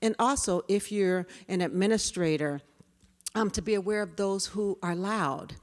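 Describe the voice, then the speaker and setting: A woman in her fifties with a quiet voice, giving a conversational workshop talk full of personal stories. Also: medium pitch, normal speed. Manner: storytelling, conversational. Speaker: diversity trainer.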